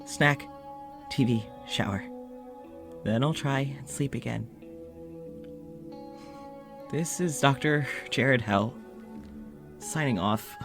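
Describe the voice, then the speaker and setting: An actor playing an exhausted, anxious doctor recording an audio journal, speaking haltingly and wearily. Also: male voice, mid-range voice, music in the background. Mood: weary. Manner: halting, weary, pleading, breaks into shouting. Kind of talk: audio drama monologue.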